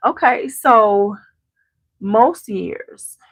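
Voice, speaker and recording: A woman's voice: mid-range voice, extremely slow, dry recording. A congested woman hosting a beauty channel, chatting casually with viewers at home and greeting them by name. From Chicago area. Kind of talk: casual chat to a live audience.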